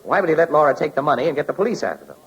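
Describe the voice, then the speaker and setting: An actor playing a skeptical, wry newspaper photographer and amateur sleuth in a 1940s radio drama, with a husky, colloquial delivery. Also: male voice, a little high, sing-song, very fast, dull audio, exaggerated voice. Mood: sardonic, arguing. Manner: colloquial, sardonic, questioning. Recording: old-time radio recording.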